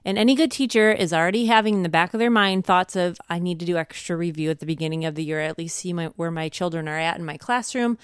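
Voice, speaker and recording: A woman's voice: fairly low pitch, clean tone, no room sound, clean studio sound. A woman co-hosting a podcast, giving conversational advice in an encouraging, reassuring way. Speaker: podcast co-host.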